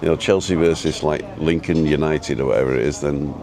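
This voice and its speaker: A man with an easygoing, chatty and halting way of talking, casually rambling as he hosts a live vlog. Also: very deep voice, fairly even intonation, crowd noise. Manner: easygoing, chatty, halting as he reads and answers viewer comments. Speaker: live-streaming vlogger and host. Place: outdoors at a restaurant overlooking the road and ocean.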